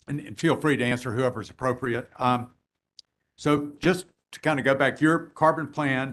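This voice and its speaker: A man cross-examining witnesses at a regulatory hearing, who says his voice is loud and fills his questions with hesitations. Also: medium pitch. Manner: hesitant, many fillers, loud voice. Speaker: intervener attorney cross-examining.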